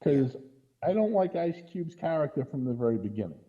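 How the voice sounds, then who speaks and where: A man's voice: fairly high pitch, sing-song, a little fast, mellow, dull audio. A man giving casual, critical film commentary on a podcast in an exasperated way, often checking his points with a questioning tag. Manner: exasperated, punctuates points with "right?". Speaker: podcast co-host or guest critic.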